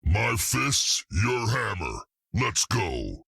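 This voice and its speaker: Giant green monster, gruff, cocky, proud of strength, rough husky voice, swinging intonation, video game dialogue. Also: male voice, lowish voice, very animated, extremely slow, bright, forceful tone, dry recording, studio quality, heavily acted. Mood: gruff banter. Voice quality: gravelly, husky. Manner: speaks of himself in the third person.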